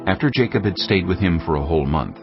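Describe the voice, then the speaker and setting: A man narrating scripture from a script in a measured, storytelling manner. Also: low voice, animated. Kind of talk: scripted audio Bible narration. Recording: slightly dull audio, music underneath.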